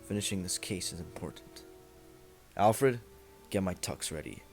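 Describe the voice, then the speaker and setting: Man acting a gravelly, deep brooding vigilante. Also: expressive, unhurried, faint music behind, full character voice. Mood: brooding, determined. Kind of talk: amateur superhero radio play acting.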